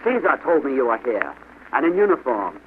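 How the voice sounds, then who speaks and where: A man's voice: medium pitch, sing-song, brisk, close to an everyday voice. A man voicing a rude, boastful Hessian sergeant in a bellowing, emphatic storybook style. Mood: angry bullying. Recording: noisy, tinny old radio-like recording.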